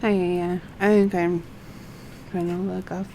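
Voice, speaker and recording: A woman livestreaming a game, halting and a little unwell, reading quest text and commenting casually on her play. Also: lowish voice, little pitch movement, warm tone, a little hiss or hum. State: feeling unwell.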